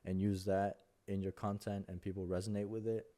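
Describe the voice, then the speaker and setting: A young Mexican man with a Spanish accent talks through creator advice in a low, flat, mellow voice. He rambles, restarts and leans on fillers as he goes. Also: brisk. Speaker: content creator. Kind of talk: casual talking-head advice.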